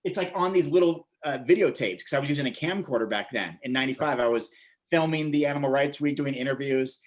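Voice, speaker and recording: A man's voice: mid-range voice, fast. An American man in his forties telling stories casually as an interview guest, rambling and repeating his words as he goes. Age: 40s. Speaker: interview guest, vegan bodybuilder and author.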